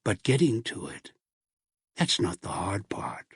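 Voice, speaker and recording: An actor voicing a bitter, obsessive old schemer in a video game, with a gravelly voice and an ominous, deliberate delivery. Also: male voice, on the low side, animated, fairly bright tone, no echo, clean studio sound, full character voice. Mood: ominous, brooding. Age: older. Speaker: video game villain and narrator. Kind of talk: dramatic video game voice acting.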